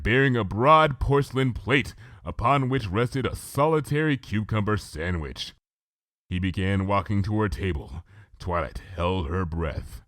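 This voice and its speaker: A man reading a story aloud as an expressive scripted narration. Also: lowish voice, no room sound, studio quality. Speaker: fan fiction reader.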